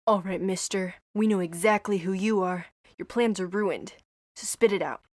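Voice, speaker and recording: A woman voicing a gruff, tough young man who bosses his team around in a curt, commanding way. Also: mid-range voice, normal speed, darkish tone, no echo, clean studio sound, pitched below the performer's own voice, close to an everyday voice. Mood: interrogating.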